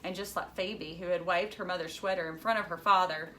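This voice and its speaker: A woman's voice: lowish voice, fairly even intonation, a little fast, sharp tone, slight background noise, rough recording. A woman reading a children's novel aloud expressively, like a teacher narrating to pupils.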